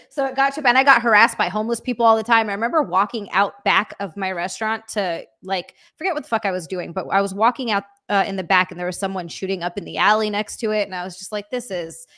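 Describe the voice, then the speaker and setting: A woman's voice: mid-range voice, quick pace, few pauses, sharp tone. A woman from Hawaii in casual podcast chat, talking bluntly and swearing freely. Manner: blunt and profane.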